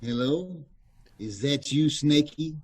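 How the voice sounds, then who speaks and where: A man playing a surly, curt gangster with a husky voice in an old-time radio mystery. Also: on the high side, animated, exaggerated voice. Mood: sly, suspicious. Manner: curt, menacing, unhurried. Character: surly, slangy male gangster.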